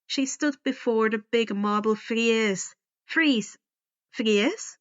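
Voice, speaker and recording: Woman reading a story out loud, highish bright voice, dramatic, slightly lo-fi home mic. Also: slow pace. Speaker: fan fiction audiobook reader. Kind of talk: expressive first-person scripted narration.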